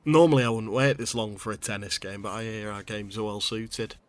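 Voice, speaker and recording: Actor as a halting, understated comic everyman. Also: on the low side, fairly even intonation, close to an everyday voice. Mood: sheepish, patient. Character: hesitant, deferential amateur tennis player, mildly absurd. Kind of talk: comic monologue.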